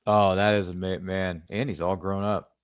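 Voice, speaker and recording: A man's voice: low-pitched, level tone, moderate pace, clean tone, no room sound, lo-fi sound. A man hosting a podcast interview, chatty and enthusiastic, heaping praise on his guest. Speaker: podcast host. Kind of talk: casual interview chat.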